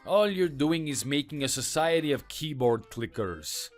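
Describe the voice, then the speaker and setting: A scornful French mechanic, voiced by a man, mocks in a low, subdued voice with a contemptuous drawl. His pitch still rises and falls widely as he belittles. Soft music plays faintly underneath. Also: lively intonation, unhurried. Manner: taunting, contemptuous.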